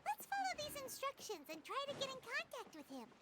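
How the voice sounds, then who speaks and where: A woman voicing a tiny, childlike fairy companion in a video game, squeaky, chirpy and easily worried. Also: huge pitch swings, brisk, very noisy, exaggerated voice. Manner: refers to self in third person. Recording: game music behind the voice.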